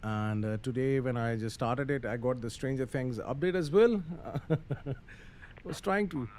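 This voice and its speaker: A man's voice: medium pitch, unhurried, a little mellow. A man giving casual live-stream commentary on a flight simulator, muttering through procedures hesitantly with some radio phraseology. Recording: faint background noise.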